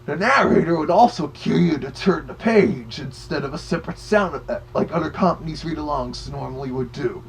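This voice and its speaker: Guy doing a cartoon big bad wolf doing casual reviews, kinda high voice, bit halting, faint hum behind. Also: vocal fry. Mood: chatty, informative.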